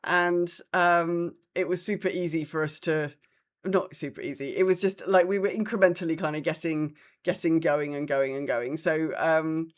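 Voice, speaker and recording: A woman's voice: deep voice, steady tone, normal speed. A woman hosting a podcast, chatting casually about her week of gaming and acting out reactions as she retells things. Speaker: podcast host.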